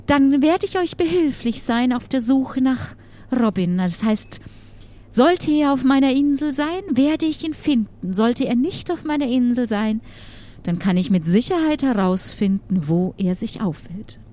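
A woman voicing a troubled, secretive witch who trades in dark goods, speaking in an earnest, halting, pleading way in an improvised audio adventure. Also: high voice, expressive. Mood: secretive, worried.

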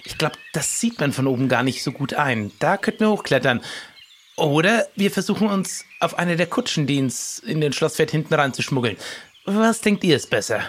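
A man playing a bold, scheming pirate gang leader in an improvised adventure audio play, animated and exclaiming. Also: a little high, moderate pace, natural voice. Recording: clearly noisy background.

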